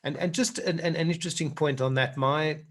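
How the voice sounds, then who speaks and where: A man's voice: fairly high pitch, fairly even intonation, fairly quick. South African man coaching on public speaking. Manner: earnest, repeats words when starting a point. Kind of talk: online workshop talk.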